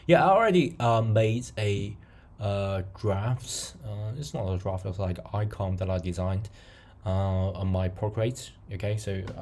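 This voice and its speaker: Gravelly, mellow-voiced man teaching coding. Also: on the low side. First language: not English. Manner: halting, many fillers and restarts, friendly; chuckles often. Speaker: coding tutorial host. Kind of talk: casual screen-recorded coding tutorial.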